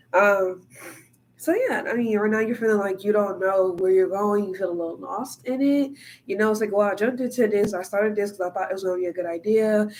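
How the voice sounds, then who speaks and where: A woman's voice: medium pitch, a little mellow, low-quality recording. Chatty congested tarot reader, a woman talking casually to the viewer and checking in constantly. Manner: chatty, keeps asking "all right?" and "okay?". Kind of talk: casual tarot reading to camera.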